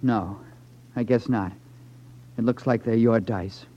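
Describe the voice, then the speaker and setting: Uneasy young playboy, muffled recording. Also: male voice, on the low side, steady tone, slow pace. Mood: resigned. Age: young adult. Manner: earnest, defensive, hesitant. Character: nervous, sheepish young playboy in gambling debt. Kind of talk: 1950s radio crime drama acting. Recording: dull lo-fi 1950s radio recording.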